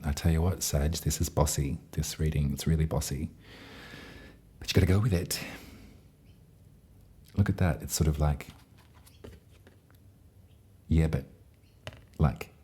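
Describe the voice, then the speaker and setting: A man's voice: very deep voice, mellow, husky. A man giving a chatty, affectionate tarot reading, speaking straight to his listeners. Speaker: tarot reader.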